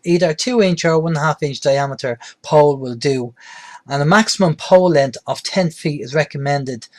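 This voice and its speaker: A man with a slightly high voice presents a practical how-to guide. His delivery is plain-spoken and explanatory, like a host walking beginners through each step. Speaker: presenter, radio tutorial host. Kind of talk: instructional tutorial narration.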